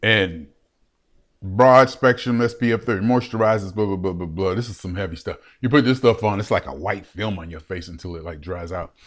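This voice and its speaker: A man in his late fifties talking on and on to a webcam in his room, animated and laughing, often repeating himself. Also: deep voice, normal speed. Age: 50s. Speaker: vlogger. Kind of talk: rambling solo vlog to a webcam.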